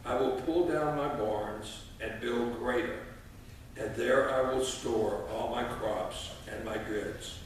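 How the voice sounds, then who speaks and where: A man in his sixties speaking at a church lectern as a lay reader, in a folksy, halting way with frequent pauses. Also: mid-range voice. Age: 60s. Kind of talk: informal church address and scripture reading. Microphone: distant camera microphone. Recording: echoey church, distant mic, noisy.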